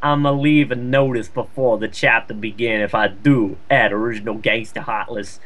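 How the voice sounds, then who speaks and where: A man's voice: medium pitch, fairly even intonation, normal speed, fairly bright tone. A man co-reading fan fiction aloud in a joking, casual style, exasperated and prone to swearing.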